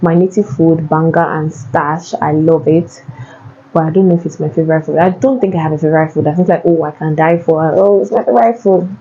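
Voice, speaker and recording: A woman's voice: sits low, steady tone, fairly quick, dark tone, husky, highs cut off. A young Nigerian woman with a Nigerian accent, answering viewer questions in a casual, chatty way and often repeating herself. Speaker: vlogger.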